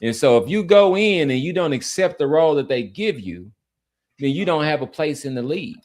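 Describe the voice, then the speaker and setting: A man's voice: a little high, big pitch swings, fairly quick, darkish tone. A man co-hosting a sports podcast, talking casually and arguing his points conversationally.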